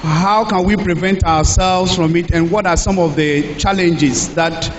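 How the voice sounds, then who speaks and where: A man with a Ghanaian English accent giving a health talk in a church, speaking in short phrases and pausing for an interpreter. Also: high-pitched, fairly even intonation. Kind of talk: public talk through an interpreter. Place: church hall. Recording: echoey hall, dull lo-fi sound, faint hiss.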